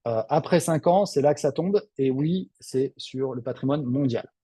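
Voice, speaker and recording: Husky, mellow Frenchman answering questions. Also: mid-range voice, normal speed, dry recording. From Lille, northern France. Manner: off-the-cuff, conversational, frank. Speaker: financial adviser hosting a webinar.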